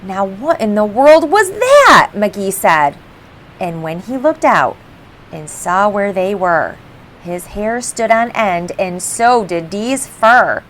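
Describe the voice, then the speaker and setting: A woman reading a rhyming picture book aloud for children in an expressive, measured storytelling style. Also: medium pitch, a little hiss or hum. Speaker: storybook reader. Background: faint background music.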